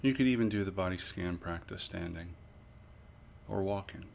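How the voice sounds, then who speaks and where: Low, gravelly-edged man guiding meditation. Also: slight background noise. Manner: measured, pausing, gently instructive phrasing. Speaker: mindfulness meditation instructor. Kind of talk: guided body scan meditation.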